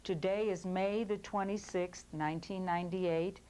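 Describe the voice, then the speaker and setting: A woman conducting a formal oral history interview, asking questions in a measured, polite way. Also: on the low side, fairly even intonation, warm tone, rough recording.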